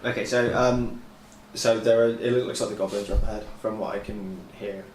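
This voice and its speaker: A man's voice: on the low side, steady tone, brisk, darkish tone. A man talking casually as a player in a tabletop role-playing game. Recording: distant, echoey, noisy room.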